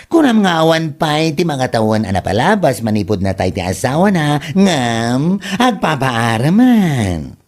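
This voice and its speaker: A woman playing an excitable, gossipy friend who scolds theatrically in a comedic audio drama. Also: rumbling low, a little slow, exaggerated voice. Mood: scandalised, reproachful. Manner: theatrical, exclamatory, reproachful.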